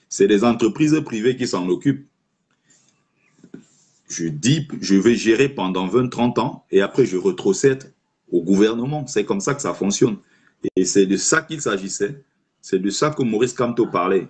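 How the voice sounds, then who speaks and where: A man's voice: lowish voice, husky. A man hosting a live stream, giving opinionated commentary and arguing his points while speaking straight to his listeners. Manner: argumentative, rhetorical, addresses listener directly. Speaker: livestream host taking callers.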